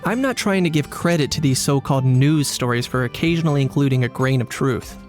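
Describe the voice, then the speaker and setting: An actor playing a pragmatic, unbothered young man in a video game, speaking in a measured, matter-of-fact deadpan. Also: medium pitch, soft background music, close to an everyday voice. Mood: matter-of-fact, dry. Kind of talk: English video game dub acting.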